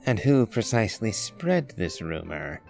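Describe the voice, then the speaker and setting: A man's voice: lowish voice, big pitch swings, unhurried, gravelly, darkish tone, faint music behind, exaggerated voice. A man voicing a wry, guarded, haughty wanderer in an anime-style game, dry and sardonic with formal phrasing. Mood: wary, dry.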